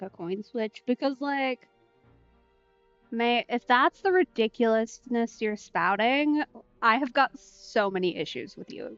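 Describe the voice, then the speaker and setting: A woman's voice: a little high, no echo, highs cut off. A woman streamer giving casual Let's Play commentary, sarcastic and slangy. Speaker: streamer playing a video game.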